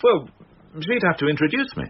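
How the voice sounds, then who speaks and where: An actor playing a mildly pompous married police inspector in a radio drama, protesting in a flustered, defensive way. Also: male voice, fairly high pitch, big pitch swings, fairly quick. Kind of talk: naturalistic radio drama acting. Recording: muffled, noisy old radio recording.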